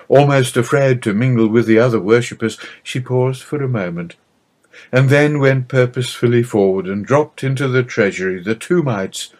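A man with a fairly low voice narrates a devotional book at a very slow pace. His reading is measured and formal, with a solemn delivery throughout. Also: darkish tone. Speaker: audiobook reader.

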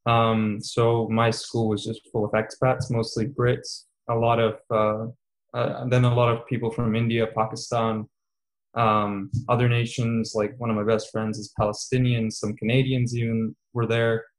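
A man's voice: medium pitch, flat delivery, normal speed, low-quality recording. A man in his twenties, a student guest in a casual interview, talking with many fillers and easy laughs. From Serbia, raised in Qatar. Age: 20s.

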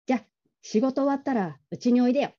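Woman as a soft, husky, coaxing daughter. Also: on the high side, lively intonation, quick pace. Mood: teasing, coaxing. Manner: casual, familiar, wheedling. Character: coaxing, teasing grown daughter pleading with her father. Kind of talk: radio drama acting. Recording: dull lo-fi audio.

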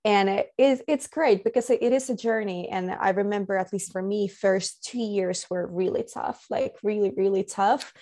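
A woman's voice: medium pitch, moderate pace, few pauses, warm tone. A woman from Finland, speaking as a podcast guest in a casual, reflective, earnest way. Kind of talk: casual conversation.

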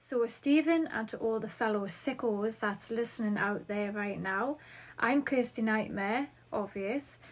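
A woman's voice: a little high, unhurried, husky. Upbeat Geordie woman podcast host. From northeast England. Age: 30s. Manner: chatty and upbeat, answering listener questions. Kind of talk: solo podcast monologue.

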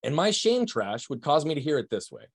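A man speaking fast and loud, full of energy, as he explains ideas as a podcast guest. His voice sounds close and dry, with no room around it. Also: on the high side, big pitch swings, slightly rough, no room sound. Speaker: guest, performance coach. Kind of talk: podcast interview, emphatic explaining.

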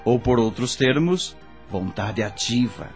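An actor playing a patient, kindly male spirit guide in a radio drama, teaching in formal, measured diction. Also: mid-range voice, animated, soft background music. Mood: emphatic teaching.

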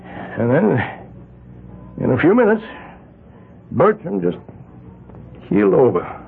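A man's voice: high-pitched, big pitch swings, slow, vocal fry, husky, heavily acted. An actor playing a flustered male witness who talks hesitantly and stammers, in a 1970s radio drama. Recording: thin, phone-like old radio recording with faint hiss.

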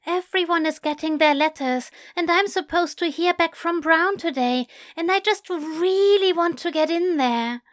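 A woman's voice: measured pace, few pauses, no room sound, clean studio sound. Woman voicing a panicky teenage boy. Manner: high, breathless, panicky. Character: anxious teenage boy, fretful and pleading.

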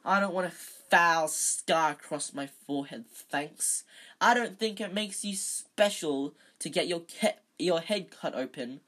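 A woman voicing a spiteful schoolboy bully, mocking and scathing, in a storybook reading. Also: lowish voice. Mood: sneering. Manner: loud, drawn-out, mocking.

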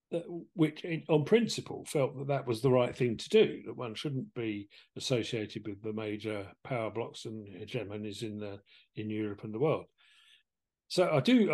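A man from England with an English accent, talking through ideas as a podcast co-host in a measured, reflective way. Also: on the low side, darkish tone. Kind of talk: conversational discussion.

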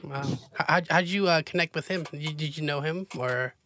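A man's voice: fairly high pitch, brisk, bright, forceful tone, dry recording. A man hosting an interview, asking friendly, enthusiastic questions with frequent uhs. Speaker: interviewer, host. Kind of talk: casual interview.

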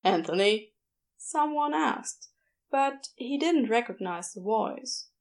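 A woman reading a story aloud as an audiobook narrator, in expressive scripted narration. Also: high voice, slow pace. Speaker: audiobook narrator of fan fiction.

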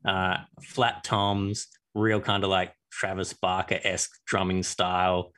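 Hesitant man, lowish voice. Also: little pitch movement, measured pace, fairly bright tone. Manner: hesitant, repeats words. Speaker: podcast co-host. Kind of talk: casual chat.

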